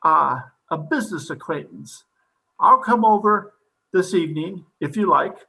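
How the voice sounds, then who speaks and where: A man playing a pompous, excitable psychiatrist in an old-time radio comedy, speaking theatrically. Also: fairly high pitch, a little slow, vocal fry, heavily acted, poor audio. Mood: self-important.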